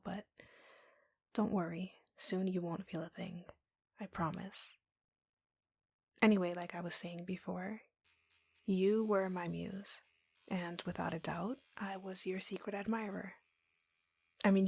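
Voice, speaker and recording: A woman voicing a lovesick, obsessive tattoo artist in an intimate roleplay audio, softly and breathily, in a slow, confiding way. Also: fairly low pitch, dry recording, exaggerated voice. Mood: soothing, focused. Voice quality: soft, breathy, whispery. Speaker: roleplay voice performer.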